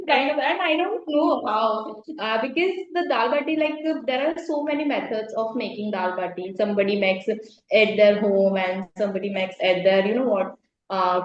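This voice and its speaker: A young woman from Rajasthan with an Indian accent, a native Hindi speaker, who talks in a halting, repetitive way as a guest on a video call. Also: medium pitch. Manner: halting, repetitive, laughs easily. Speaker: guest learner. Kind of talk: casual conversation practice. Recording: roomy, dull video call audio.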